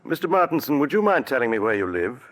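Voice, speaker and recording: A man's voice: medium pitch, sing-song, a bit bright. An actor playing a shrewd male doctor exposing a murderer in a 1940s radio drama. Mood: probing. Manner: measured, probing, accusing. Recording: old radio broadcast recording.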